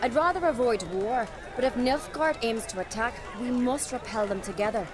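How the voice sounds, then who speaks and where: A woman's voice: fairly high pitch, moderate pace, a crowd in the background, natural voice. An actress playing an earnest, resolute young warrior queen in a video game, with a solemn way of talking. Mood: thoughtful. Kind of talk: game cutscene acting.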